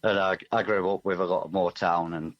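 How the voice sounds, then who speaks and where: Low-voiced, modest man, slightly dull sound. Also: fairly even intonation, fairly quick. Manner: modest, self-effacing. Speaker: musician guest, singer-songwriter. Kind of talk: casual interview chat.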